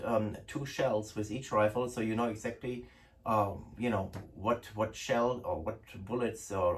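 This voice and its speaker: A middle-aged man with a German accent, hosting a casual product talk to camera in an indoor room, chatty with many restarts. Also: fairly low pitch. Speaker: host, gun importer. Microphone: far camera. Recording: distant room sound.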